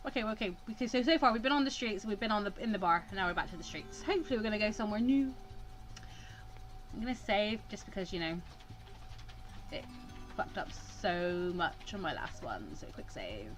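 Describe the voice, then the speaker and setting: A woman chats casually as she plays a visual novel on her channel. Her pitch is animated and jumps around, with a giggly, theatrical streak. Game music plays behind her. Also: a little fast, low-quality recording. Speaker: let's-player. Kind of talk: casual gameplay commentary, reading visual novel text aloud.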